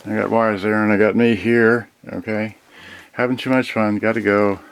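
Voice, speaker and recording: A man's voice: on the low side, level tone, normal speed, creaky, clear, resonant tone. A man giving a casual, unscripted unboxing as host, rambling and thinking aloud, often trailing off mid-thought. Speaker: host of an electronics unboxing channel. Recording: noisy home video with clicks.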